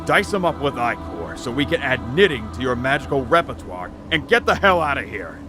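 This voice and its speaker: Cold-blooded henchman, played by a man, mid-pitched, offhand authority, audio drama with music behind. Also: very animated, fairly quick, lightly acted. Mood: cool command. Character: callous, businesslike male accomplice ordering a kill.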